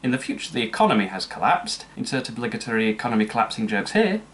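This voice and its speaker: A man's voice: medium pitch, very animated, fast, fairly bright tone, a little hiss or hum. A man narrating a comedic scripted film review with sarcastic, mocking asides. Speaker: movie reviewer.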